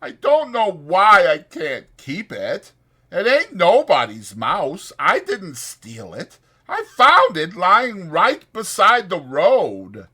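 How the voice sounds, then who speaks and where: A man voicing a big, simple-minded, meek farmhand with a slow, childish, pleading rural way of talking in an audiobook. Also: sits high, wildly swooping pitch, pushed and bright, breathy edge, voice pushed up, heavily acted.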